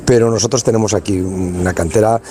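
Male tournament organizer from Spain, deep warm voice, rambling colloquial radio guest. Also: steady tone, fairly quick. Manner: rambling and colloquial, lots of restarts and tag questions. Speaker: youth football tournament organizer. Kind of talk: casual radio interview.

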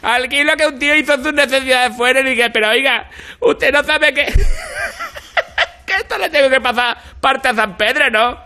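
A man's voice: extremely high pitch, sing-song, sharp tone, heavily acted. A man voicing a giggly, gushing old comic character in a radio comedy sketch, exclaiming and rambling through wheezy laughter. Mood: giggly, chatty. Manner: exclamatory, rambling, drawn-out cries. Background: music under the voice.

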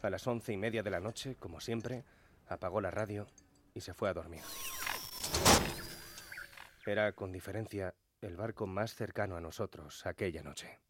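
An actor playing a weary, overworked ship's telegraphist recounting events in a radio drama monologue. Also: male voice, low voice, moderate pace, pauses often, background noise, natural voice. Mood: weary, regretful. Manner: regretful, dramatic storytelling. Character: weary, overworked ship's telegraphist recalling his fateful night.

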